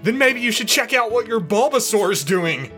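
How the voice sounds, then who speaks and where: A knowing young cartoon sidekick, read by a man, speaks bluntly in a bright, forceful voice. His pitch moves around a lot as he needles, over faint music. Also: lively intonation, normal speed. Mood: needling.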